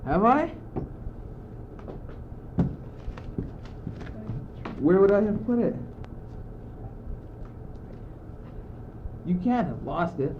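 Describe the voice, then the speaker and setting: A man's voice: sits high, big pitch swings, a little fast, noisy background. A teenage boy playing an argumentative, muddled but bossy courtier in an amateur school radio play, declaiming emphatically on an old, tinny recording. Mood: puzzled, defensive. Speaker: student actor.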